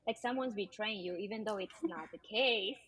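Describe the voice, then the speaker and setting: A young woman from Peru, a teacher, talking casually as a podcast guest and pausing often with ums and uhs. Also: mid-range voice, a bit bright. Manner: hesitant, many ums and uhs. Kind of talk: casual interview conversation.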